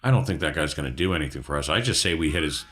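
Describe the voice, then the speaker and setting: A man's voice: extremely low pitch, animated, racing, a bit bright. A man talking casually as a player in a tabletop game.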